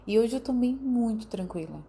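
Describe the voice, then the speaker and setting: A woman, a patient giving a soft, relieved personal account, recorded from farther away. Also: on the high side, lively intonation, moderate pace, slight background noise.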